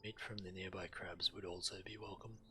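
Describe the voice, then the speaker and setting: A man with a low-pitched, strikingly forceful and crisp-edged voice reads quest dialogue aloud as a game streamer. He narrates and slips into the characters' lines, with game ambience and noise behind him. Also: steady tone, brisk.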